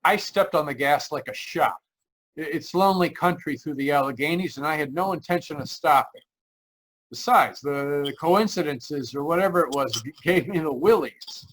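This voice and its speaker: A man acts out a troubled male motorist recounting his journey for a radio mystery play. His voice sits a little high. He speaks with dramatic unease, stammering and hesitating as he goes. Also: moderate pace, a bit bright, low-quality recording. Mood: haunted, brooding. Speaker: actor, lead narrator. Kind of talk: 1940s radio mystery acting. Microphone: remote video call.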